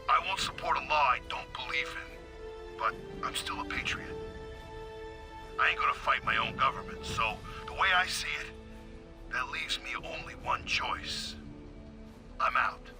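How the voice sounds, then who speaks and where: Gruff, heavy-hearted working-class superhero. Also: male voice, medium pitch. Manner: plain-spoken, halting. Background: music.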